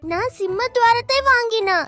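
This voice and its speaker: A woman's voice: extremely high pitch, normal speed, bright, forceful tone, soft background music. Piercing, pompous little cartoon boy. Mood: childlike bargaining. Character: pompous boy posing as a rich landlord. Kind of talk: animated children's cartoon dub.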